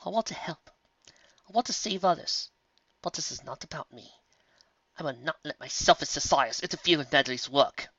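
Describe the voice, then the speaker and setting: A man doing a soft, restrained refined pony mare. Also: medium pitch, lively intonation, moderate pace, pauses often, lo-fi sound, crowd noise, pitched above the performer's own voice, lightly acted. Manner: soft, restrained inner speech. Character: refined reserved young female cellist pony.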